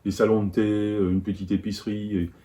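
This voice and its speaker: Deep, relaxed man. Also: brisk. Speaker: travel vlog co-narrator. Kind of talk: casual travel recollection.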